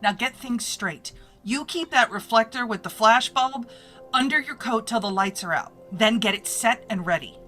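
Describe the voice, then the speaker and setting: A woman's voice: a little high, normal speed, bright, forceful tone, faint music behind. A woman playing a brash, bossy press photographer in old-time radio style, with snappy, slangy wisecracks.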